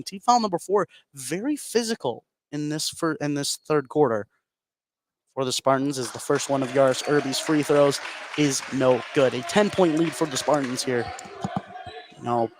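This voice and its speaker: A man doing live play-by-play basketball commentary on radio, getting excited on big plays. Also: a little high. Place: indoor school basketball gym. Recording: noisy gym ambience behind.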